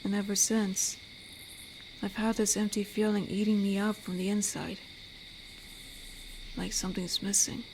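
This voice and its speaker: A woman voicing an amiable, protective, lonely female werewolf in an intimate audio roleplay, speaking soothingly and reflectively with pauses. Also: medium pitch, little pitch movement, gravelly, a little hiss or hum, poor audio. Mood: wistful, vulnerable. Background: night forest ambience with crickets.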